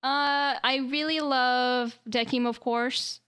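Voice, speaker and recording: A woman's voice: high voice, steady tone, takes it slowly, a bit bright, clear, resonant tone. A woman co-hosting a podcast, chatting casually and rambling, with lots of fillers and laughter. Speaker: podcast co-host. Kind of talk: casual anime discussion.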